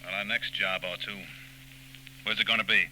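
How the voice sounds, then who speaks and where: An actor playing a blunt, menacing holdup gang boss in 1940s radio style. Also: male voice, on the low side, fairly even intonation, moderate pace, sharp tone. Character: tough, hot-tempered male holdup gang boss. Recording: scratchy 1940s radio recording with hum.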